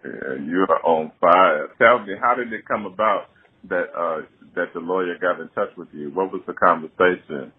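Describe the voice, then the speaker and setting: Deep, husky, soft-spoken man on the phone. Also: normal speed. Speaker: interviewer. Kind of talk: casual interview conversation. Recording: muffled narrow-band phone recording.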